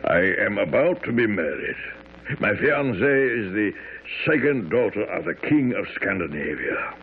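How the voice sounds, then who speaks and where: Husky, imperious, anxious king. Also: fairly low pitch, very animated, a little slow, heavily acted. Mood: agitated. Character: proud, anxious young European king confessing youthful folly. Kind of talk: 1970s radio drama acting. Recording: muffled, tinny old radio broadcast.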